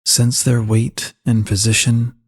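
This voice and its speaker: A man leading a slow guided meditation in a calm, soothing and unhurried manner, with a soft husky voice. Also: medium pitch.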